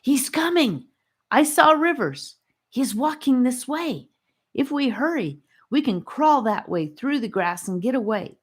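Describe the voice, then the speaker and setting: An eager young boy adventurer from a storybook, played by a woman, pitched up high and very animated. He is impatient and insistent, pressing his point with urgency. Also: a little slow, husky, full character voice. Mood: urgent, excited.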